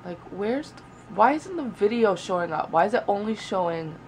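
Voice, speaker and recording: A woman's voice: mid-range voice, lively intonation, moderate pace, darkish tone, slight background noise, poor audio. A young woman vlogging casually from her bedroom, muttering to herself and swearing while she works, recorded on a camera held close. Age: young adult. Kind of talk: casual vlog chat. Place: small bedroom indoors by a window.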